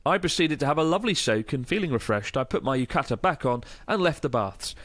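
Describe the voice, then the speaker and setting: A man's voice: on the high side, expressive, quick pace, fairly bright tone, no echo. A man in his thirties with a Northern English accent, co-hosting a casual podcast, chatting and reading listener mail aloud with laughter. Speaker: podcast co-host.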